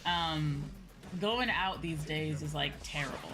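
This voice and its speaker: A woman's voice: deep voice, slow, low-quality recording. A woman livestreamer chatting casually to her viewers in a rambling, repetitive way. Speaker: VTuber streamer. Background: background music.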